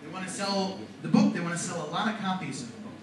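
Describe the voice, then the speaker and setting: A middle-aged man teaching a classroom lecture on rhetoric, lively and asking the class questions. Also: high-pitched, fast. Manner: animated, talks to the class with questions. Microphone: far from the mic. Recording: echoey classroom from far off, noisy.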